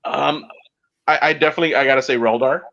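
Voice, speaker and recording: A man hosting a casual podcast chat, talking in a rambling, halting, geeky way. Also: medium pitch, steady tone, vocal fry, a bit bright, dull audio. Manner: self-described geek, rambling and halting. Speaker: podcast host.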